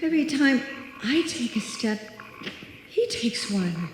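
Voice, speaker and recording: A woman playing a frightened woman alone at night, talking haltingly to herself in a live radio suspense play. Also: on the high side, very animated. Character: frightened woman walking home alone at night, self-reassuring. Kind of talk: live old-time radio suspense acting. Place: live stage performance in a hall. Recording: echoey hall, long reverb, faint noise.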